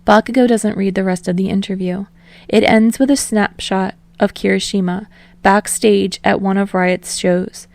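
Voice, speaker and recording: A woman's voice: medium pitch, fairly even intonation, normal speed, creaky, darkish tone, dry recording. A woman reading scripted fiction narration as an audiobook narrator.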